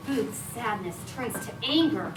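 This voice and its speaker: A woman's voice: fairly high pitch, expressive, a little slow. A woman reading out stage directions as scripted narration at a live staged reading. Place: indoor restaurant staged reading. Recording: echoey live restaurant, distant, noisy.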